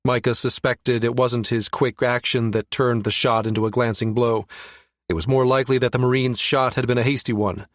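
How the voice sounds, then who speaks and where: A man's voice: mid-range voice, fairly even intonation, moderate pace, dry recording, clean studio sound. A man reading a science fiction audiobook as scripted narration.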